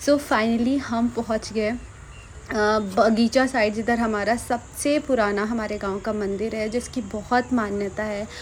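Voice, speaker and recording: A young woman vlogger from Bihar, India, narrating her vlog in a chatty, enthusiastic voice-over and mixing in English phrases. Her voice sits a little high. A faint hiss runs under the recording. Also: fairly even intonation, takes it slowly.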